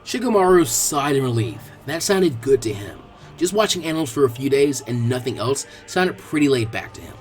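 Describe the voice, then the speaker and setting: A man reading fan fiction aloud in expressive scripted narration. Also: mid-range voice, brisk, faint music behind.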